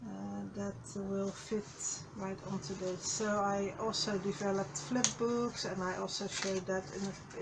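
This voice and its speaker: A woman with a slightly hoarse voice, hosting a casual craft tutorial over her desk, slow and halting, with many fillers and restarts. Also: mid-range voice, noisy background. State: a little hoarse. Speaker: craft channel host. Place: craft desk, overhead camera.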